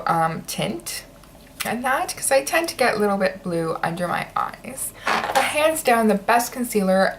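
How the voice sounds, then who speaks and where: Stuffy nasal lady vlogger, chatty, lots of um and like, faint noise behind. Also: medium pitch. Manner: chatty, many ums and likes. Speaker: beauty vlogger. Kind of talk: casual product review.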